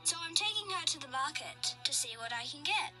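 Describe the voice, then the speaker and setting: An eager, curious little boy from a storybook, played by a woman. The voice is extremely high, with polite, earnest delivery over clear background music. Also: expressive, brisk. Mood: dutiful. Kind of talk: storybook character acting.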